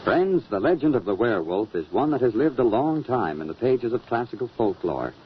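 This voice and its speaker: A man with a raspy voice announcing in polished, emphatic, dramatic 1940s radio style. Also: medium pitch, very animated, brisk, a little hiss or hum. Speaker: announcer, narrator. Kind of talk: 1940s radio announcing, scripted narration and sponsor pitch. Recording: muffled old radio recording.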